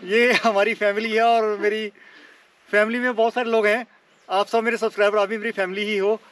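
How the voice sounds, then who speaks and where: A man's voice: high-pitched, level tone, a little fast, fairly bright tone. A man vlogging outdoors on a forested mountainside, chatting and joking with his viewers as friends. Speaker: travel vlogger. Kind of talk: casual vlog narration to camera.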